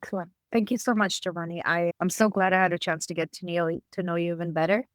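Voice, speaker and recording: A woman from Israel interviewing a podcast host, speaking in a thoughtful, measured way. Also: fairly low pitch, fairly even intonation, no room sound. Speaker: guest interviewer. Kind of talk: conversational interview.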